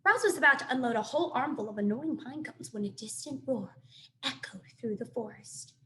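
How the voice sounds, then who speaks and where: A woman reading a children's book aloud in an expressive, animated storytelling manner. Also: fairly high pitch, a little fast, slight background noise. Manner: animated, dramatic sound effects and asides.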